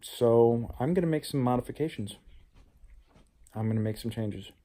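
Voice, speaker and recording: A man's voice: medium pitch, moderate pace, warm tone. A man talking reflectively in a personal vlog as a playwright, earnest and introspective. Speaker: playwright, show host.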